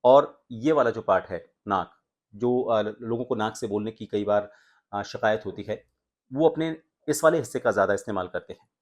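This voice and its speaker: शांत आवाज़ में समझाता हुआ आदमी. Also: male voice, on the low side, normal speed. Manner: explanatory, demonstrates humming sounds. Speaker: voice coach and anchor. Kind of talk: voice training lesson.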